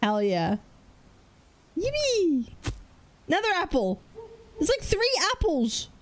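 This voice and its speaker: A woman game streamer giving casual, excitable reaction commentary in informal, slangy speech. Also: extremely high pitch, slow pace. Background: faint game music.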